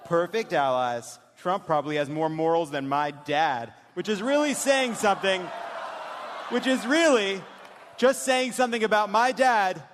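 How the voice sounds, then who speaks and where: A man's voice: high voice, a bit bright. Man reading as a jaded, scornful daughter, echoing hall. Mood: jaded, candid. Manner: dry, deliberate dramatic reading. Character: politician's adult daughter texting, candid, jaded, dryly scornful. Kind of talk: comic dramatic reading on stage. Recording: reverberant theater, some noise.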